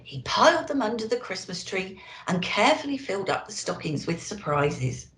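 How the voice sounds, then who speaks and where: A woman's voice: medium pitch, sing-song, moderate pace, a bit bright, echoey room, low-quality recording. A woman reading a children's storybook expressively as a Christmas elf storyteller, with dramatic emphasis.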